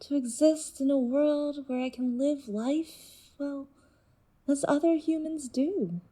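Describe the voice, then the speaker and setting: A woman playing an intense, seductive nonhuman being in human guise in an audio drama, speaking in a deliberate, persuasive way. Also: sits high, warm tone. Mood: yearning, aggrieved. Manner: deliberate, measured, persuasive monologue.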